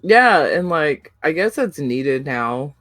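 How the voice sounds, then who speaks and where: A woman's voice: low-pitched, very animated, slow, fairly bright tone, no echo, faint music behind. A young woman co-hosting a podcast, chatting casually, rambling with lots of fillers and giggles. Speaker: podcast co-host. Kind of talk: casual chat.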